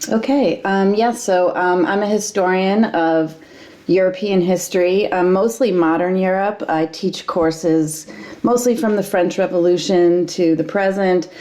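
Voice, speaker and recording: A woman historian in her fifties, answering interview questions in a measured, thoughtful way with frequent ums. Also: lowish voice, warm tone. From United States. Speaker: historian guest.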